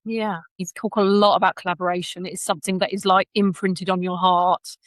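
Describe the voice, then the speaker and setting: A woman's voice: fairly low pitch, level tone, a little fast, no room sound. A woman hosting a podcast in a conversational, enthusiastic and effusive way. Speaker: podcast host.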